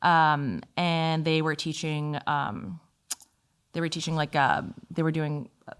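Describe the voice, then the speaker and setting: A woman from Italy talking as an interview guest, telling stories in a casual, animated, informal way. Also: low-pitched, takes it slowly, smooth. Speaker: podcast guest, fitness instructor. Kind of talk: casual conversational storytelling.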